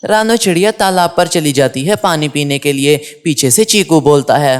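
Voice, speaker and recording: Mid-pitched storybook narrator of unclear sex. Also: lively intonation, normal speed, clean tone. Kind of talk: scripted children's story narration.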